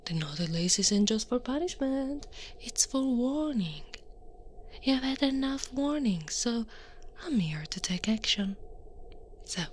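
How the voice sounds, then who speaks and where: A huge female Krampus acted by a woman speaks in a very slow, breathy whisper, stern but soothing. She coaxes and scolds like a patient captor in an ASMR monster roleplay. There is noticeable noise behind the hushed voice. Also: on the high side, lively intonation. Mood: stern, chiding. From Italy.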